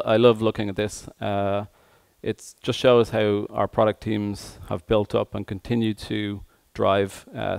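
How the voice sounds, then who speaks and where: A man giving a tech conference presentation as an engineer, speaking hesitantly with frequent pauses mid-phrase. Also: on the low side, vocal fry, a little mellow, no room sound.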